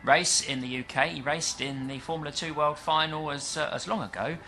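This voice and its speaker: A British man giving live commentary on a stock car race, chatty and explanatory, pausing often with fillers. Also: a little high, bright, forceful tone, slight background noise. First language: English. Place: at a dirt track event.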